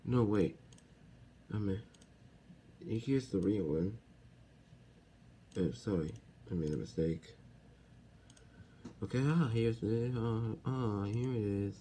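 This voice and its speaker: A man giving a casual software tutorial step by step, haltingly and correcting himself as he goes. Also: on the low side. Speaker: tutorial host. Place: indoors at a computer. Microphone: handheld camera or phone filming the screen. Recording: noisy handheld recording with computer clicks.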